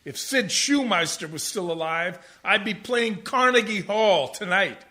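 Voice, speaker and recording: A man's voice: high-pitched, animated, unhurried, pushed and bright, full character voice. A man playing an aggrieved, washed-up older jazz musician in a comic radio play, grumbling theatrically and swelling into indignation. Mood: weary grumbling. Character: aggrieved, ranting, washed-up older jazzman, husband and father.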